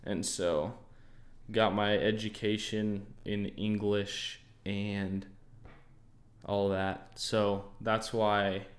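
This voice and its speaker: A young American man hosting a casual livestream, easygoing and thinking aloud, often pausing on fillers and restarting his sentences. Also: fairly low pitch, little pitch movement. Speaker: trading livestream host. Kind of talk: casual livestream chat answering viewers.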